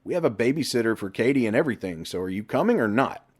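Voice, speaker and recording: A man's voice: medium pitch, animated, fast, pitched above the performer's own voice, close to an everyday voice. A man voicing a troubled middle-aged husband in an audiobook story, earnest and easily exasperated.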